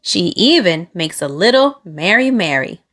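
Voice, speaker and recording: Slow, lively woman reading a picture book. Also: fairly low pitch. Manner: expressive, deliberate phrasing for young children. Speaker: children's storybook reader. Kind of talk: read-aloud picture book narration.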